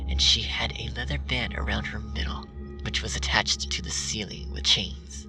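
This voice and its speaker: A man reading a dark fan story aloud in a hushed, half-whispered way. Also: lowish voice, gravelly. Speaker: fanfiction reader. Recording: distant mic with music bed.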